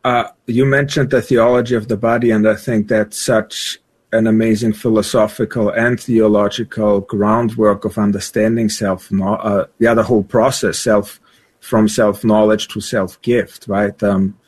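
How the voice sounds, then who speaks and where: A man speaking as a guest on a religious talk show, explaining ideas thoughtfully and haltingly as he searches for words. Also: fairly low pitch, level tone, a little mellow, breathy edge.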